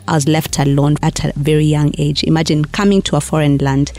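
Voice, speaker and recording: A young Kenyan woman with a Kenyan accent, a guest telling her story in a lively, chatty interview, often repeating phrases and laughing. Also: deep voice, brisk, no echo. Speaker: guest, pharmacist and performance coach. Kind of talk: casual interview chat.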